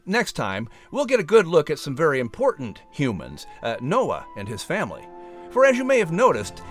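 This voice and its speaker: Animated male storybook narrator. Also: fairly high pitch. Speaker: audiobook narrator and announcer. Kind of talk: expressive scripted storybook narration.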